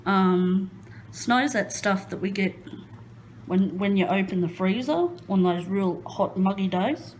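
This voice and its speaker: Slow-talking nervous Australian woman. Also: mid-range voice, a little mellow, a little hiss or hum, low-quality recording. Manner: nervous, rambling, self-deprecating, many ums and laughs. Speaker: crafting vlogger. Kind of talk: nervous casual chat while diamond painting. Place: indoors at a craft table.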